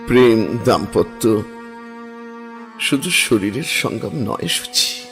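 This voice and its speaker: A man's voice: fairly low pitch, vocal fry, full character voice. A man playing an impassioned young lover. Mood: tender. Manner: impassioned, pleading, intimate. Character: young man Ambar, passionate lover reborn. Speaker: actor. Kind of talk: radio drama acting.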